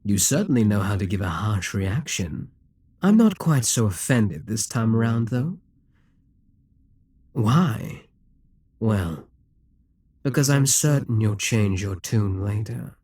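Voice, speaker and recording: A man's voice: lowish voice, expressive, slow, long pauses, a little mellow, dry recording, full character voice. A man playing a possessive demon king. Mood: smug. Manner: smooth, teasing, condescending. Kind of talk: audio roleplay.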